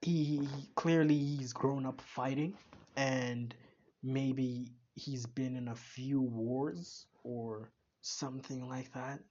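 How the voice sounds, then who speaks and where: A man's voice: medium pitch, steady tone, takes it slowly, warm tone, muffled recording. A man giving casual reaction commentary, rambling and musing with frequent restarts and stammers. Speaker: reaction video creator.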